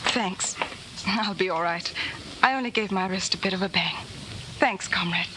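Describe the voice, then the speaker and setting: A woman's voice: mid-range voice, moderate pace, natural voice. An actress playing a guarded, shaken but polite young woman in a 1940s radio drama. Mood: flustered, hurting. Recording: noisy vintage 1940s radio broadcast.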